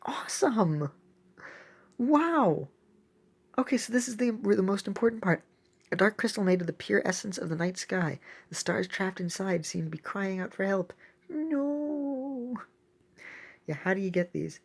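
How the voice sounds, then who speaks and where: Husky, creaky-voiced woman commenting on a game. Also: mid-range voice, unhurried. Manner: excitable, exclaiming often; thinks aloud while playing. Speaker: gaming video host. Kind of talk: casual gameplay commentary.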